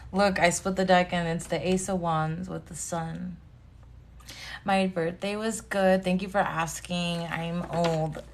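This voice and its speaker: A woman's voice: mid-range voice, background noise. A woman in her thirties hosting a casual live tarot stream, chatty, greeting viewers by name and laughing often. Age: 30s.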